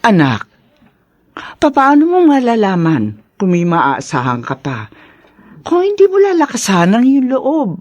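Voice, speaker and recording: A woman's voice: on the high side, wildly swooping pitch, unhurried, pauses often, darkish tone, no room sound, full character voice. A woman playing a doting, coaxing mother of a grown son in a Filipino radio drama. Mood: gentle urging.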